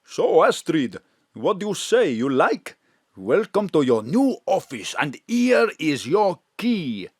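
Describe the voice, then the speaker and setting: An actor playing a cheerful, flirtatious foreign neighbour who mixes up English words. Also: male voice, fairly high pitch, slow, slightly rough, no echo, studio quality, full character voice. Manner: warm, flirtatious, lively. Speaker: Sakis, café owner friend. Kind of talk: live audio drama acting. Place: home studio.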